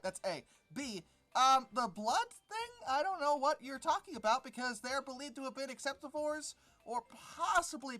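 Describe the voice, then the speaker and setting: A YouTube host giving sardonic, exasperated reaction commentary while reading an article aloud, with halting, emphatic repetition. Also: high-pitched, pushed and bright.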